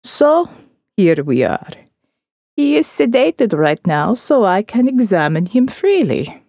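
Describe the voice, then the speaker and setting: A creepy middle-aged male doctor played by a woman explains things bluntly and clinically in an audiobook. The voice is mellow and swings widely in pitch, recorded very dry. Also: big pitch swings, slow pace, dry recording, exaggerated voice. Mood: detached. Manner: clinical, matter-of-fact, detached. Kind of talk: audiobook character dialogue.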